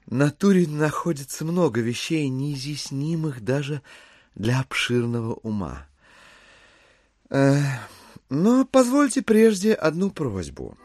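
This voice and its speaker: An actor playing a smooth, calculating gentleman visitor in an audio drama, measured, deferential and careful with words. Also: a little high, very animated, no echo, studio quality. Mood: careful, probing.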